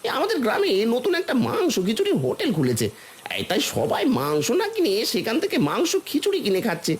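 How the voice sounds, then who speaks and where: A man voicing a scheming, greedy village butcher in a cartoon, an excitable husband who talks in agitated exclamations. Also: very high voice, fast talker, slight background noise, exaggerated voice. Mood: grumbling. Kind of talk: cartoon voice acting.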